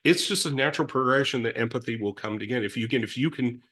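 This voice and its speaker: A man's voice: mid-range voice, fast. A middle-aged man in recovery telling his story in a reflective, rambling way with many restarts and self-deprecating asides. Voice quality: big loud voice. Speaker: guest in recovery.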